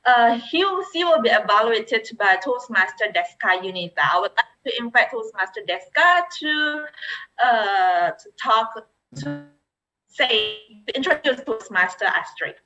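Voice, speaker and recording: A woman's voice: on the high side, animated, measured pace, pushed and bright, dull audio, soft background music. A woman hosting an online club meeting, talking loosely with fillers. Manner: chatty, loose, with fillers and laughs. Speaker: meeting host. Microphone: video call.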